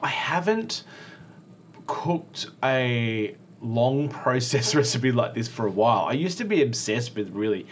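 A man's voice: mid-range voice, lively intonation, measured pace, a little hiss or hum. An Australian man co-hosting a casual food podcast. He talks enthusiastically and laughs often. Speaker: podcast co-host. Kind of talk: casual chat.

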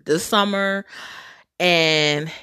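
A woman's voice: on the low side, drawn-out words, bright, forceful tone, clean tone. A woman hosting a casual storytelling podcast, candid and sassy, swearing freely, laughing often and calling her listeners sisters. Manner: addresses listeners as sisters and girl. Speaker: podcast host.

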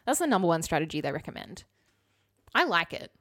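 A woman's voice: fairly low pitch, sing-song, quick pace, a bit bright. A woman co-hosting a podcast, chatting casually and summarising articles in an informal, explanatory way with wry asides. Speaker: podcast co-host.

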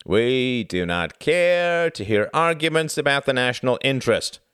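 A man's voice: mid-range voice, lively intonation, normal speed, fairly bright tone, clear, resonant tone, dry recording, studio quality, pitched above the performer's own voice, natural voice. A man voicing sneering male fascist hecklers who drawl with lazy contempt.

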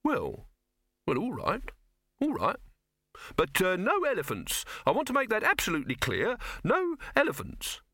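A man's voice: fairly high pitch, wildly swooping pitch, takes it slowly, bright, forceful tone, dry recording, clean studio sound, pitched above the performer's own voice, full character voice. A man voicing an anxious, wavering male businessman with a hesitant manner, in an audiobook. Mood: wavering, insistent. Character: anxious, cautious businessman, wavering and easily flattered.

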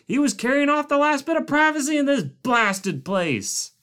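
A man voicing a grumbling middle-aged camp cook who complains loudly and bluntly. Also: extremely high pitch, very animated, moderate pace, pitched above the performer's own voice, exaggerated voice. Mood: grousing. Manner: loud, complaining, blustery.

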